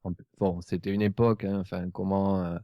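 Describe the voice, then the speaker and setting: Low-voiced, gravelly male podcast host. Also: level tone, brisk, no room sound, muffled recording. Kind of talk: casual podcast hosting with chat.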